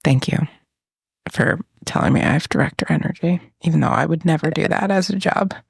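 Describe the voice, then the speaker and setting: A woman's voice: low voice, a little fast, vocal fry, husky, dry recording, studio quality. A woman in her thirties co-hosting a podcast, chatting casually, joking at her own expense and laughing often. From New England. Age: 30s. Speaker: podcast co-host. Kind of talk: casual chat.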